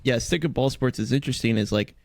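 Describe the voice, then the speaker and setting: A man with a creaky, fry-heavy voice of average pitch joins a casual podcast chat as a contributor. He is recorded dry, with hardly any room sound. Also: quick pace, dry recording.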